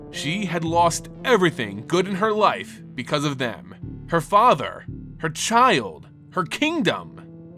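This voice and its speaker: Expressive man narrating dark fantasy lore. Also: a little high. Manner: solemn, theatrical storytelling delivery. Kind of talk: dramatic reading of fantasy lore.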